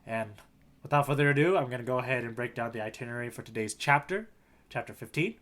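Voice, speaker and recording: A man's voice: medium pitch, quick pace, a bit bright. A man co-hosting a podcast in casual comedic chat, joking with mock authority and repeating phrases for effect. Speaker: podcast co-host playing the tour guide.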